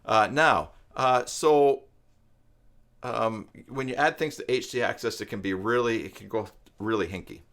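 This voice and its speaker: A man hosting an informal live stream tutorial, explaining things step by step in a halting way as he thinks aloud. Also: mid-range voice, fairly bright tone. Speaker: live stream host and web tutorial presenter. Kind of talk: informal unscripted tutorial talk to viewers.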